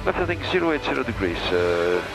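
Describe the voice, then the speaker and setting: A man's voice: medium pitch, very animated, brisk, vocal fry, loud music behind the voice, poor audio. A man working as a pilot, speaking in clipped cockpit and radio phrases. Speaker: airline pilot.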